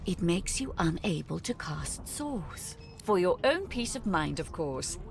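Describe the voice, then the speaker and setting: A woman's voice: lowish voice, very animated, a little slow, gravelly, soft background music, exaggerated voice. A woman playing a smug, condescending jailer in a video game, teasing and patronising with mock sweetness. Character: smug, condescending female prison-ship magister, mockingly sweet jailer.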